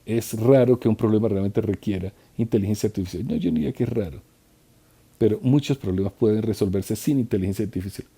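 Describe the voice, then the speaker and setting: Male conference interpreter, deep and dark, husky edge, halting delivery. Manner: halting, with restarts and trailing phrases. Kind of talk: live interpretation of a conference talk.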